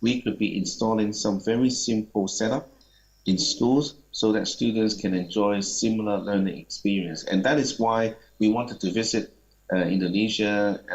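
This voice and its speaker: A man answering audience questions at a seminar in a polite, measured, explanatory way. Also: fairly low pitch, vocal fry. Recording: muffled, dull sound.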